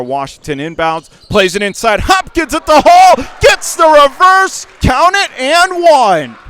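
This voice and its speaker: Male play-by-play basketball announcer, fairly high and clean-toned voice, punchy phrasing, excitable on big plays. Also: clean tone. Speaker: radio play-by-play sports announcer. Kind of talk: live basketball commentary. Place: indoor school gymnasium during a game.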